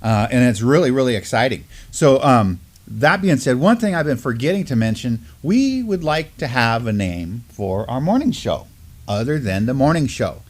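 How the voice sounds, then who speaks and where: A man's voice: medium pitch, big pitch swings, moderate pace. A middle-aged man co-hosting a live news talk show, chatting casually from a desk in a small studio, with many fillers and restarts. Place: studio with mottled backdrop, at a desk.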